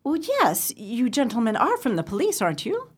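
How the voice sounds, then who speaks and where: A woman's voice: on the high side, wildly swooping pitch, moderate pace, breathy edge, heavily acted. A woman playing a frightened, polite wife in an old-time radio thriller, hesitant and stammering. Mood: polite, uneasy. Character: nervous, frightened, polite wife cornered by gangsters.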